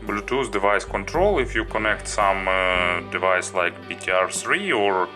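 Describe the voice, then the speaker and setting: Deep, slow-paced man reviewing a gadget. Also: animated, over music. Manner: halting, listing items one by one. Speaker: product reviewer, host. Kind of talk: unscripted product review walkthrough.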